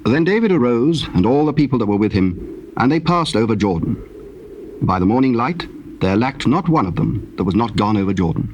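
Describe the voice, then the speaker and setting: Male narrator for dramatized scripture, formal and measured, mid register, slightly hissy old cassette. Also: mid-range voice, lively intonation, darkish tone, lo-fi sound.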